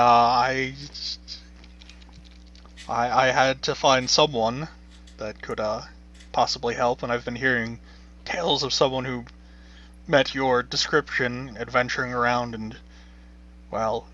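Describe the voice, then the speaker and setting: A frantic male servingman from a noble house, put on by a man, formal and hurried as he pleads for help. His voice is bright and forceful. The recording is dull and lo-fi. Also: medium pitch, fairly even intonation. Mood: anxious. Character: panicked, flustered male servant of a noble house.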